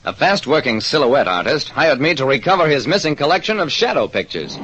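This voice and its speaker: An actor playing a terse American private detective, narrating an old radio crime drama. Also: male voice, a little high, sing-song, fairly quick, background noise. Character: wry, quick-thinking American private detective. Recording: dull vintage radio recording, music behind.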